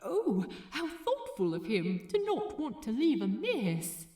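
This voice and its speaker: A gentle, bookish man from a romantic story, acted out by a woman. He is polite and easily flustered, speaking in breathy, hesitant phrases that swing up and down in pitch. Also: huge pitch swings, takes it slowly, echoey room, full character voice.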